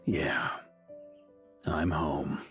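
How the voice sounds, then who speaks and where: An actor voicing a hearty, weathered, steadfast male knight commander in a video game, with warmth in the delivery. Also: rumbling low, fairly even intonation, extremely slow, creaky, exaggerated voice. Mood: relieved, heartfelt. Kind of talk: dramatic game dialogue. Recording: background music under the dialogue.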